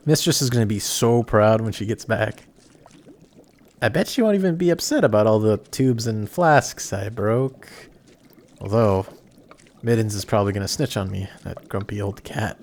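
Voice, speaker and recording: A young man playing a kindhearted apprentice sorcerer in an audio roleplay, chatty, excitable and easily flustered, with some stammering. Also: lowish voice, moderate pace. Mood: smug, chatty. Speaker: voice actor. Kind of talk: amateur audio roleplay acting addressed to the listener.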